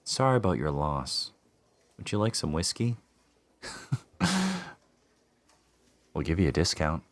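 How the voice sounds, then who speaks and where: A man's voice: extremely low pitch, lively intonation, takes it slowly, no room sound. A man hosting a let's play, commenting casually on a video game and reading bits of it aloud.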